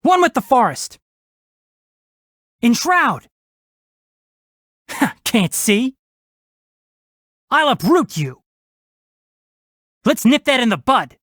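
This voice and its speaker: A young fox-eared forest ranger from a video game, speaks with a highly animated melody. He is precise and bookish, with a wry, matter-of-fact edge. The sound is dry and free of any room. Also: no echo, exaggerated voice. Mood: fierce, battle-ready. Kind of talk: video game character voice lines.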